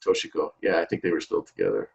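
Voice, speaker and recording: A man in his forties talking about his music career in a casual interview, animated and full of restarts and repeated words. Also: extremely low pitch, fast, creaky. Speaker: trumpeter and singer, interview guest. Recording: dull lo-fi sound.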